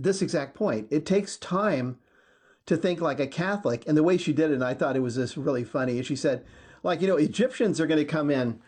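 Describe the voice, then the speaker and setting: A man with a somewhat higher voice talks through a scripture passage on a podcast. He reads steadily, then explains it in an earnest, thoughtful way to his co-hosts. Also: darkish tone, breathy edge. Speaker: podcast co-host. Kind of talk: casual discussion, reading scripture aloud.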